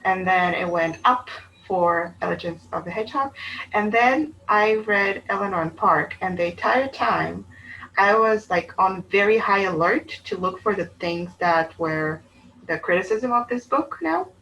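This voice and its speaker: A woman from Bulgaria, co-hosting a casual book chat, talking in an animated, rambling way that often breaks off and restarts. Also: medium pitch, a little slow, slight background noise, low-quality recording. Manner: chatty, animated, self-interrupting. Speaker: book channel co-host.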